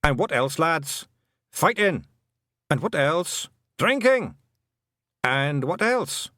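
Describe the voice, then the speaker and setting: A man's voice: lively intonation, slow, no room sound, studio quality, exaggerated voice. A man voicing a tiny, scrappy blue fairy clansman in a broad Scottish brogue. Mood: rowdy. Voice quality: gruff broad brogue, high and shouty. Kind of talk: audiobook character voice.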